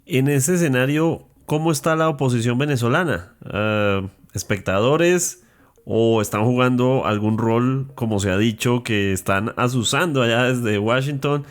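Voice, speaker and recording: A man with a medium-pitched voice conducts an interview. He puts his questions in a journalistic style, as the interviewer. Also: a little slow.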